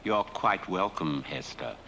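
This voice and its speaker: An actor playing a suave, sardonic lord who delivers a film monologue with formal, mock-affectionate deliberation. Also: male voice, low-pitched, lively intonation, unhurried, creaky, noisy background, heavily acted. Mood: smug, sardonic. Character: sardonic, suave dead lord reading his own will.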